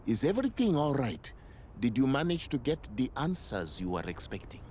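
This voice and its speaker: An actor playing a courteous, perceptive native clansman in a game, with formal, measured, poetic phrasing. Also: medium pitch, very animated, a little mellow, a little hiss or hum, close to an everyday voice. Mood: concerned. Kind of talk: game cutscene acting.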